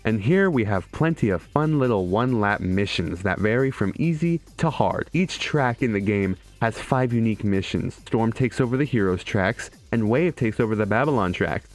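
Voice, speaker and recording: A man who makes gaming videos, narrating and bantering in an irreverent, sweary, joking way. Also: mid-range voice. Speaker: gaming video creator and streamer. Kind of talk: scripted video narration mixed with casual stream banter. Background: music behind the voice.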